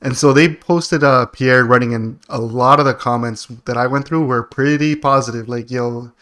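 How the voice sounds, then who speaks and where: A man's voice: medium pitch, steady tone, moderate pace, fairly bright tone. A man co-hosting a podcast, chatting casually about politics in a slangy, joking way. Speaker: podcast co-host.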